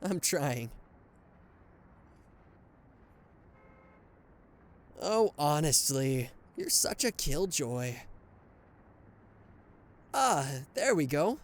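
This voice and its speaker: A man's voice: a little high, sing-song, slow, long pauses. A man voicing a smug male anti-hero villain who gloats and teases a captured hero in an ASMR roleplay, with a mocking, flirtatious drawl. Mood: flustered. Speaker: voice actor.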